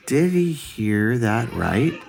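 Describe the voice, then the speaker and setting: A man reading a children's story aloud in a deliberate, expressive way. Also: medium pitch, gravelly. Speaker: storybook reader.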